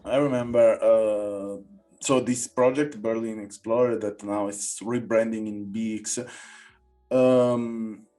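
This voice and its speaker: This is a man with a fairly low voice and an Italian accent, hosting a relaxed podcast conversation. English is not his native language, and he speaks it haltingly, feeling his way toward each word. There is faint music in the recording. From Italy. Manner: halting, searching for words in non-native English. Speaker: podcast host. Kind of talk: casual interview conversation.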